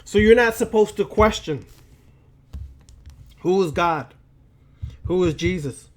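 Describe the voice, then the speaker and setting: A man lecturing like a preacher, speaking deliberately and emphatically, with repetition and rhetorical questions. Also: high-pitched, animated. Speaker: occult lecturer.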